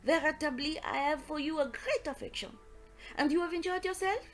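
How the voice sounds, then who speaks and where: A woman's voice: on the high side, expressive, normal speed, faint music behind, close to an everyday voice. A woman voicing a confident, middle-aged male detective, precise and affectionate, in an audiobook story. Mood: affectionate, effusive. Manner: precise, self-satisfied, foreign turns of phrase.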